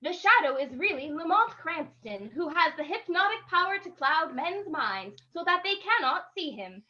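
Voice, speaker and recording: A woman announces an old-time radio drama in scripted narration. Her voice is high and bright, and the recording is dull and lo-fi. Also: very animated, normal speed. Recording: muffled, lo-fi microphone.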